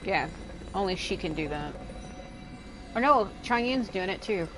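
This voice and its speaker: A woman's voice: mid-range voice, lively intonation, a little slow, rough recording. A woman livestreamer giving casual gameplay commentary, thinking aloud in a conversational way. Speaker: game livestreamer. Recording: loud game music behind the voice.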